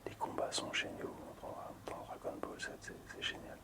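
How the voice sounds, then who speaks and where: A man hosting casual ASMR in a breathy, unvoiced whisper, hushed, hesitant and appreciative. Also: moderate pace. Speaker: ASMR video host.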